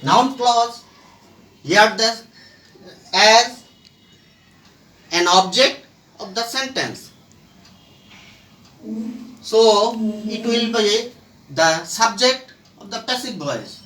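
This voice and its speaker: A teacher explaining English grammar step by step, in short halting phrases broken by pauses. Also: medium pitch, animated, a bit bright. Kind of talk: slow explanatory grammar lesson. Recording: roomy echo, distant microphone.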